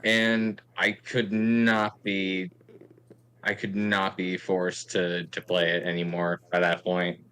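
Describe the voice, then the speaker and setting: A man's voice: deep voice, level tone, slow pace, fairly bright tone, smooth. A man in his thirties chatting casually, with a joking manner and wry exaggeration. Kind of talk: casual chat.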